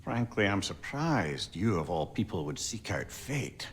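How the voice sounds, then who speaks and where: Lively, low-voiced wry companion. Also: male voice, measured pace, slight background noise. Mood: candid, supportive. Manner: wry, genial, candid. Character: wry, warm, loyal wise companion. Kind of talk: dramatic video game cutscene acting.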